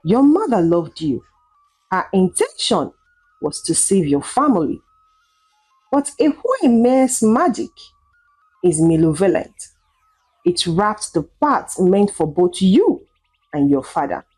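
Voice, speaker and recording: A woman voicing an old wise woman in a folktale, solemn and cryptic, with measured pronouncements. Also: on the low side, big pitch swings, darkish tone, husky, faint music behind, exaggerated voice, low-quality recording. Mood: solemn, explaining.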